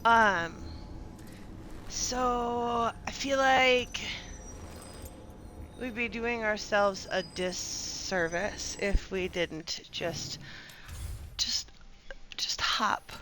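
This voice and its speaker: A woman's voice: mid-range voice, steady tone, drawn-out words, long pauses, pushed and bright, a little hiss or hum. A woman doing casual live game commentary, chattily thinking aloud and reacting as she plays. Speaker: video game streamer.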